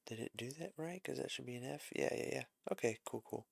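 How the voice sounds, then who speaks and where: A man's voice: mid-range voice, a little fast, creaky, slightly rough, no echo. A man giving a casual music arranging lesson, thinking aloud as he works, with frequent fillers and self-corrections.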